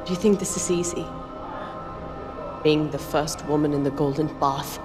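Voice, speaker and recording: An actress playing a fierce, ambitious young woman who leads a rebel army in a video game cutscene. She speaks in a forceful, impassioned and persuasive way. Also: sits low, fairly even intonation, moderate pace, over music, lightly acted. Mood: frustrated. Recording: loud game score behind.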